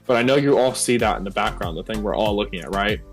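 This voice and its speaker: A man casually giving a joking tour of a game build, relaxed, with fillers. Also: on the low side, fast talker, faint music behind. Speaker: co-host touring a game build.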